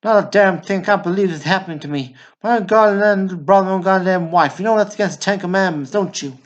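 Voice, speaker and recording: A man's voice: sits high, fast, lo-fi sound, lightly acted. A man acting a hot-tempered, blustering older brother. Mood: outraged. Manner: profane, blustering, preachy. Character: hot-tempered indignant older brother, a swaggering war veteran. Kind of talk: radio play acting.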